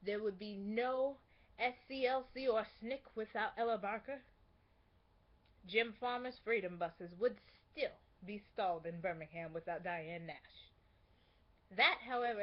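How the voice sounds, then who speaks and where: A woman playing a dignified, indignant female civil rights activist, delivering a measured, emphatic theatrical speech. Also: mid-range voice, close to an everyday voice. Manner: measured, emphatic, rhetorical, with weighty pauses. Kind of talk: theatrical monologue, oratorical speech. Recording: dull lo-fi amateur recording.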